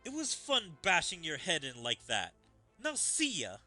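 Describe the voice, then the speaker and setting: A man voicing a brash, hot-headed game fighter who taunts in a cocky shout. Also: on the high side, huge pitch swings, measured pace, heavily acted. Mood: aggressive taunting. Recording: game music behind the voice.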